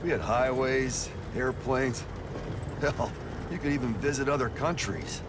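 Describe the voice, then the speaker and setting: Game role: man plays a jaded American driver, plainspoken and profane, average male pitch, loud road noise behind. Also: unhurried, lightly acted. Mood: weary, reflective. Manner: blunt, folksy, profane. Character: world-weary, foul-mouthed male driver hauling a body. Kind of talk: video game cutscene acting.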